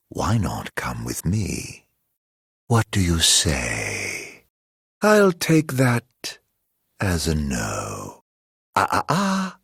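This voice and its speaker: An actor playing a mocking, theatrical immortal villain in a video game, drawling mock-polite taunts in a husky, gravelly voice. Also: male voice, sits low, no room sound, clean studio sound, full character voice.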